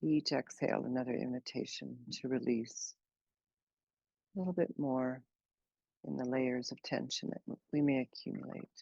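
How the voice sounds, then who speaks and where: A woman leading a guided meditation, speaking slowly and gently in an unhurried way with long pauses. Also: extremely low pitch, fairly even intonation, vocal fry, husky, no room sound, highs cut off. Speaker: meditation teacher.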